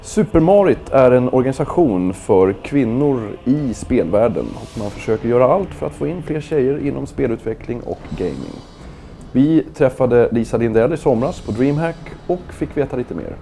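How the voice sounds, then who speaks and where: Male host voice-over, mellow, relaxed, soft music under. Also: mid-range voice, moderate pace, vocal fry. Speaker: show host. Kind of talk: scripted voice-over.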